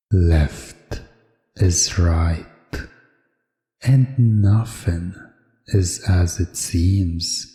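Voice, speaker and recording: Man playing a slow, deep, menacing game master. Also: mellow, echoey room, in a large hall, exaggerated voice. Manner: theatrical, slow, taunting, drawn-out emphasis. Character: menacing, mocking male game master toying with his captive contestant. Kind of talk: hypnosis audio roleplay villain.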